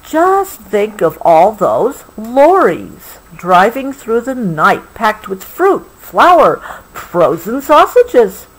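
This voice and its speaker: Slow, expressive American woman reading aloud. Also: on the high side, a little hiss or hum, low-quality recording. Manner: expressive, explanatory, patient with interruptions. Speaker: mother reading a picture book aloud to a child. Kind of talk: read-aloud storytelling with casual explanations to a child.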